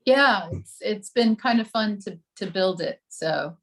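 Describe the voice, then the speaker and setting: Relaxed woman with lots of ums. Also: medium pitch. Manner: relaxed, many ums and pauses. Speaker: new member introducing herself. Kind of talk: casual self-introduction.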